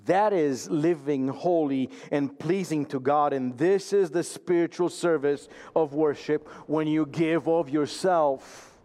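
High-voiced preaching man from Romania. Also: dark tone. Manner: earnest, emphatic, slows down for key phrases. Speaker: pastor. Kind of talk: sermon.

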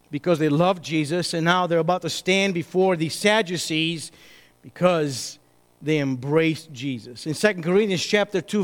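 A pastor preaching a sermon, emphatic, moving between loud bursts and hushed asides. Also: male voice, sits high. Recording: reverberant hall.

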